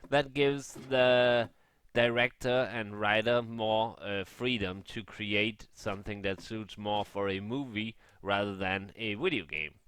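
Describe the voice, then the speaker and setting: A man giving casual, unscripted gaming commentary in a halting way, often rephrasing and correcting himself. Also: on the low side, a bit bright, clear, resonant tone, no room sound. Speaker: gaming vlogger.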